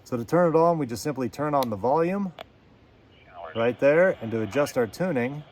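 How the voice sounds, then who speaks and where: Folksy dude doing a casual product review, slangy n playful, noticeable outdoor noise behind him. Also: male voice, mid-range voice, animated, normal speed.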